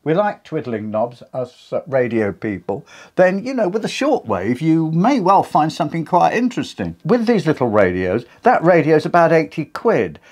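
A man's voice: on the high side, sing-song, normal speed, a little mellow. British man, casual video host. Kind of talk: casual talk to camera about radio. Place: small wood-walled home radio studio. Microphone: clip-on lapel mic.

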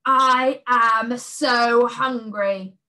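Storybook beast, hungry and huffy, slow growling delivery; a woman acts it. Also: a little high, steady tone, sharp tone, heavily acted, rough recording. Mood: menacing. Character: hungry grumpy male soup-cooking beast.

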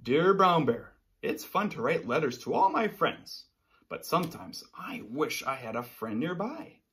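A man reading a children's storybook aloud and voicing a lonely, gentle male polar bear who pleads in his letters. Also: on the high side, big pitch swings, a little slow. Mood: wistful.

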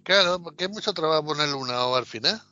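A man co-hosting a podcast, making deadpan, crude jokes in sarcastic casual chat about a film. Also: fairly high pitch, fast, no echo. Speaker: podcast co-host. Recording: dull lo-fi podcast audio.

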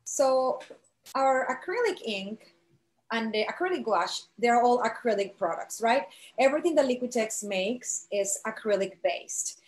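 A middle-aged woman giving a live instructional demonstration as an art teacher, speaking in measured, halting phrases and checking in with her listeners. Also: a little high, expressive. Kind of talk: live instructional demo, explanatory.

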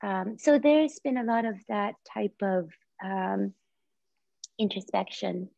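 Soft-spoken woman, Japanese first language. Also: medium pitch, vocal fry, dry recording. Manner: soft, reflective, halting with many fillers and restarts. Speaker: visual artist guest. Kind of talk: video call interview. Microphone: laptop or phone camera on video call. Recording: muffled lo-fi video call audio.

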